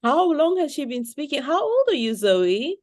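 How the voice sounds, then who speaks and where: A woman's voice: high voice, very animated, moderate pace, a little mellow. A woman guest author on a video call, asking curious questions and sounding amazed. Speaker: guest children's book author. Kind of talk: casual online chat.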